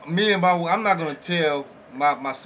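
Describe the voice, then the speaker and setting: A man with a slightly high voice chats on a group podcast. His delivery is halting and circles around the point, with some background noise in the small studio. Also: a bit bright. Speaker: podcast co-host. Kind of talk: casual group discussion. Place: small makeshift home studio, indoors. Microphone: podcast mics on boom arms.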